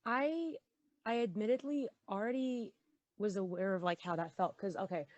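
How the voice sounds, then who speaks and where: A woman who acts on a podcast, chatting casually with the panel in a halting, joking way. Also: medium pitch, a little mellow, dry recording, highs cut off. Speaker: podcast voice actor. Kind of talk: casual panel chat, post-show commentary.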